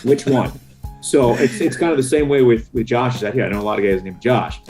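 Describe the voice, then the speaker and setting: A man's voice: medium pitch, a little fast, music in the background. A man from New York, a radio announcer chatting casually as a guest and acting out bits of dialogue. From New York, United States. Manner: animated storytelling, acting out quoted dialogue. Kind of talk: casual chat.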